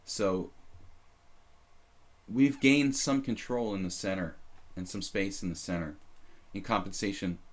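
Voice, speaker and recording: A man's voice: deep voice, a little slow. A man in his thirties casually commenting on his own chess games on a live stream, rambling and self-deprecating, with a slight leftover cough. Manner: rambling, self-deprecating, many fillers and restarts.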